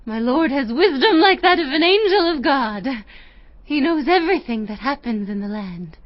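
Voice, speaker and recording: A woman's voice: high voice, lively intonation, moderate pace, no room sound, highs cut off. An actress playing an anguished, humble widow pleading formally with a king in a dramatized audio Bible. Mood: earnest, humble. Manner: formal, beseeching, emotional.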